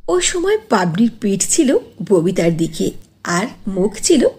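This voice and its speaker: A woman narrating a scripted cartoon fairy tale in an animated, expressive way. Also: mid-range voice, unhurried, breathy edge.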